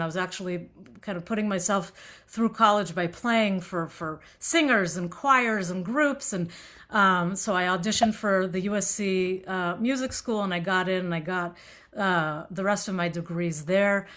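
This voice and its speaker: Bright-voiced woman with a light Israeli accent. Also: medium pitch, moderate pace. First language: Hebrew. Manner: warm, chatty, many fillers and restarts. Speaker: synagogue musician giving a talk. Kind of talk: casual lecture to a group.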